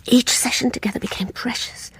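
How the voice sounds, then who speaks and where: An actress playing an anxious, guilt-ridden younger British woman in a radio play, confiding in a hushed, hesitant, breathy voice. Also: on the high side, steady tone, brisk, whispered, rough recording. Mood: haunted, hushed. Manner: tense, hesitant, confessional. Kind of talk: radio play acting with intimate first-person narration.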